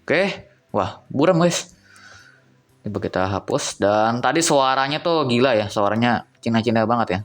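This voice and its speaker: Young guy rambling through a cheap phone review, mid pitch, faint music under him. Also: animated, moderate pace. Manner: chatty, thinks aloud, addresses viewers as guys. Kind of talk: casual phone review vlog.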